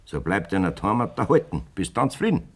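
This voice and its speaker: An actor playing a blunt, scheming middle-aged farmer with a Bavarian accent in a German radio play. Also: male voice, sits low, sing-song, a little fast. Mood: calculating, boastful. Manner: blunt, domineering. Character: hard, scheming farmer demanding a divorce from his wife.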